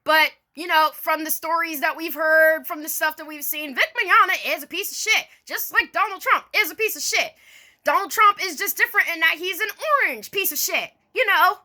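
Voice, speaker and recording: A woman's voice: extremely high pitch, a little fast, bright, forceful tone. A woman doing a mock-formal dramatic reading with casual, sarcastic, sweary asides in a theatrical manner. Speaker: online video creator doing a dramatic reading.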